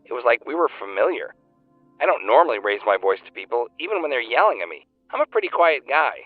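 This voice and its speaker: An actor playing an earnest, talkative fan-fiction writer, heard over a phone call in an audio drama. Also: male voice, medium pitch, sing-song, fast talker, no room sound, soft background music. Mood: earnest, reflective. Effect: phone call filter. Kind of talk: naturalistic audio drama acting. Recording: thin, band-limited phone line.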